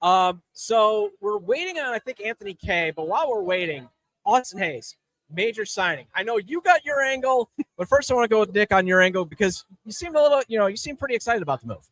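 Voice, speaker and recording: A man's voice: high voice, sing-song, brisk, fairly bright tone, dry recording, rough recording. A man hosting a sports podcast, moving between promos and introductions.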